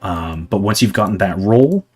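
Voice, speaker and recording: A young man chatting casually to his viewers on a livestream, rambling with lots of fillers and a voice going slightly hoarse from talking a long time. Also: on the low side, animated, normal speed, a little mellow, soft background music. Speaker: livestreamer answering chat.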